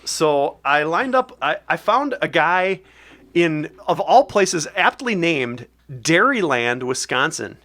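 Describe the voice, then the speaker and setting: A man hosts a comedy podcast and tells rambling, animated stories. His voice sits a little high for a man. The tone is loose and casual. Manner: rambling, quick, animated storytelling. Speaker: podcast host. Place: basement studio.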